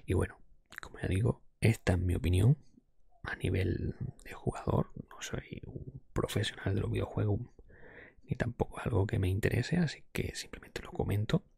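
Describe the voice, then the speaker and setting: A man whispering an ASMR podcast monologue in a soft, breathy, husky voice, speaking close to the microphone in an unhurried, rambling way. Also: fairly low pitch, dry recording. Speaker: host of an ASMR podcast channel.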